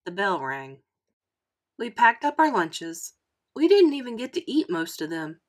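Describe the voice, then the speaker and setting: A woman storyteller narrating a children's book aloud in an expressive style. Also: medium pitch, measured pace. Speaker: read-aloud storyteller, teacher.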